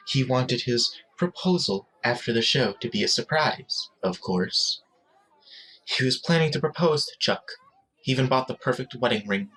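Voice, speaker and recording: Bright-voiced man narrating a story. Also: medium pitch, rough recording.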